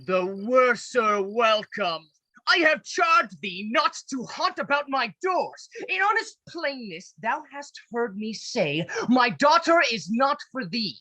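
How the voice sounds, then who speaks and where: A woman playing a proud, indignant old senator and father in a Shakespeare radio play, imperious and deliberate. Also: a little high, sing-song, bright, forceful tone, no echo, heavily acted, rough recording. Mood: scornful, angry. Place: recorded at home over a video call.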